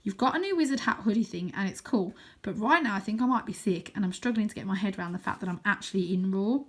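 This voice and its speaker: A woman's voice: medium pitch, fast talker, natural voice. A woman voicing an anxious, bewildered young boy in a children's story, speaking in an urgent, pleading and questioning way. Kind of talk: children's storybook character reading.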